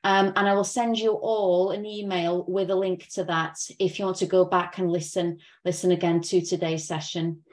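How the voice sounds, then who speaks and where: A woman's voice: fairly low pitch, flat delivery, moderate pace, hardly any pauses, darkish tone. A young woman as host giving thanks and closing remarks on a video call, friendly with light laughs, through a laptop mic. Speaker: event host.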